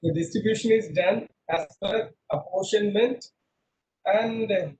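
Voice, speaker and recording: A man's voice: sits high, slow, darkish tone, a little hiss or hum, low-quality recording. A middle-aged man teaching an online class over a video call, quizzing students and repeating himself, mixing English with Hindi. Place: small room indoors. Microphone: laptop webcam on a video call.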